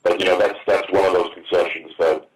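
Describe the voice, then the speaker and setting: A man in his fifties with a very deep voice answers as a promoter on a conference call. His delivery is even and halting, with repeated words. The line is roomy and distant. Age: 50s. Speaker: boxing promoter taking reporters' questions. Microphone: phone on a conference call. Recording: roomy, distant, noisy teleconference phone line.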